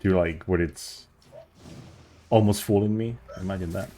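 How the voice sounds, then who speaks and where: A man's voice: low-pitched, steady tone, normal speed, warm tone. A man streaming a speedrun, chatting casually and rambling as he searches for words. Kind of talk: casual chat while playing.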